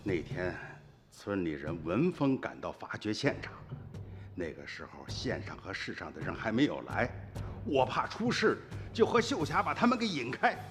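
A man's voice: fairly low pitch, wildly swooping pitch, normal speed, a bit bright, big-room sound. A man playing an earnest, suspicious village uncle in a radio drama, insistent and sighing. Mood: stern, accusing. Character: earnest, suspicious rural uncle telling the past to a grandchild. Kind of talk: Chinese radio drama acting and narration. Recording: music behind the voice.

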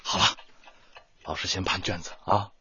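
A man's voice: deep voice, fairly even intonation, moderate pace, bright, forceful tone, a little hiss or hum. A man playing a devoted volunteer teacher in a radio drama, telling his story in an earnest, reflective, emotive way. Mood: gentle, earnest. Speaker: narrator and lead character. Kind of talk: dramatic radio-play narration and dialogue. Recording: muffled radio drama under prominent music.

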